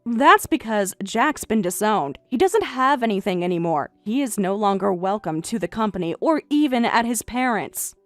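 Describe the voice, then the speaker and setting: A woman voicing a calm young wife who is unbothered by her husband's affair, speaking flat, quiet and deadpan in a voiced story drama. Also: mid-range voice, moderate pace, hardly any pauses, dry recording, faint music behind, lightly acted. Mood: cold.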